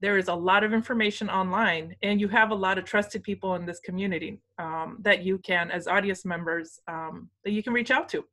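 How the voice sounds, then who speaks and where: A woman's voice: medium pitch, a little fast, few pauses, pushed and bright. A woman moderating a webinar panel, encouraging and repeating key points for emphasis. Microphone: video call.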